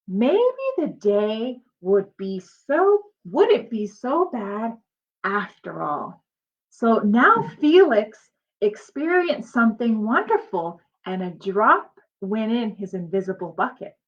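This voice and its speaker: A high-pitched woman reads a picture book aloud like a teacher talking to small children. Her delivery is slow and swings widely, with drawn-out emphasis and explanatory asides. The sound is slightly dull and lo-fi. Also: sing-song. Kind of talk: expressive children's storybook read-aloud with asides.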